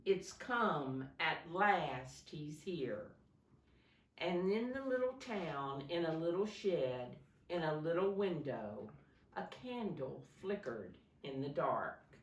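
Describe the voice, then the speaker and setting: A woman reads a picture book aloud to preschoolers like a teacher, expressively and deliberately, addressing the young listeners directly. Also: on the low side, slight background noise. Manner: slow, deliberate, pausing to ask young listeners questions. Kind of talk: expressive children's storybook read-aloud. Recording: roomy echo, distant microphone.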